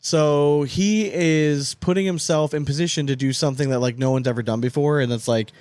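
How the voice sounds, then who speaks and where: A man's voice: a little high, steady tone, a little fast, darkish tone, no echo. A man co-hosting a sports podcast in casual chat, candid and loose, swearing freely and laughing between points. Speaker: podcast co-host.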